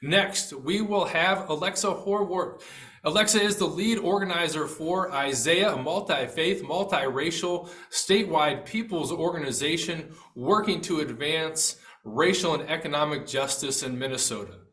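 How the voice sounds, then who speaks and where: A man moderating a church panel, reading out guest introductions in a steady, formal way. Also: a little high, normal speed, roomy sound.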